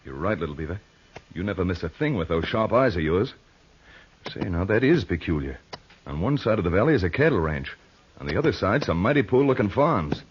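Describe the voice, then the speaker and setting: Fair-minded frontier hero, folksy and animated, rough-edged voice, dull 1940s radio sound. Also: male voice, sits low, a little fast, full character voice. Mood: observant, calm. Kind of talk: 1940s radio western acting. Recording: muffled old radio broadcast recording.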